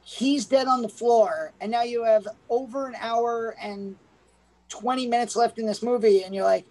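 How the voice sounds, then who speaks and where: A woman's voice: a little high, fairly even intonation, normal speed, darkish tone, low-quality recording. A woman casually reviewing a movie as a podcast co-host, rambling with many "like"s. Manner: rambling, full of "like"s, laughs.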